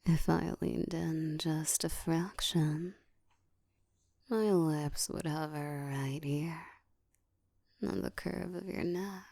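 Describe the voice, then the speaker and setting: A woman playing a seductive, domineering ancient vampire in an intimate ASMR roleplay, speaking in a slow, breathy whisper with a teasing, commanding manner, close to the mic. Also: low-pitched, dark tone, dry recording, full character voice. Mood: teasing, predatory. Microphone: close microphone.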